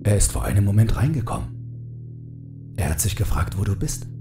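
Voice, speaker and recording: A man's voice: fairly low pitch, very animated, normal speed, reverberant, over music. A man voicing a welcoming, talkative male neighbour in a horror audiobook, friendly and conversational. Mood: casual.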